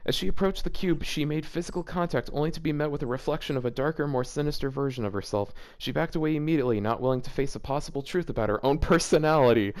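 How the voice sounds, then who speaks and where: Higher-pitched man, exasperated fanfic reader. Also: quick pace, hardly any pauses. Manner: exasperated, baffled asides. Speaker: YouTuber reading fan fiction aloud. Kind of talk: reading aloud with casual reaction commentary.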